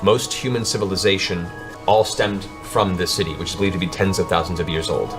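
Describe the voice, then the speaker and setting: A man's voice: deep voice, fairly even intonation, a little fast, over music. A man working as game master, improvising descriptive, dramatic fantasy narration for a tabletop game.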